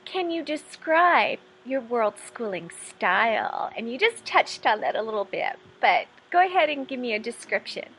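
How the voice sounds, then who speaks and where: A woman's voice: on the high side, very animated, normal speed, few pauses, a bit bright, poor audio. A woman hosting a casual podcast, upbeat and welcoming as she introduces a guest. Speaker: podcast host.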